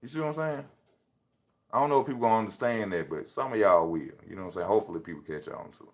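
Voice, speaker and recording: A man in his thirties talks straight into his phone on a live stream, easy and slangy. His voice sits on the low side, and he drives home each point by saying it twice. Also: rapid-fire. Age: 30s. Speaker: livestream host. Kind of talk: casual motivational live talk.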